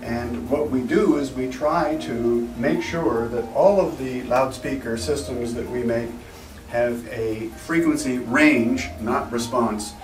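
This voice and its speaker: A man giving an informal, technical product presentation as a company representative, explaining things in a measured, slightly formal way. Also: medium pitch, darkish tone. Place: echoey indoor trade show demo room. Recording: roomy, distant mic, music in background.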